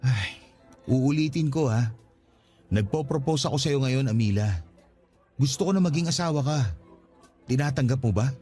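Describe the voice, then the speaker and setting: A man's voice: mid-range voice, normal speed, pauses often, mellow, natural voice. Man acting an earnest, anxious young father. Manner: earnest, conversational, questioning. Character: earnest, anxious young father proposing to his estranged partner. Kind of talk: Tagalog radio drama acting. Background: music bed.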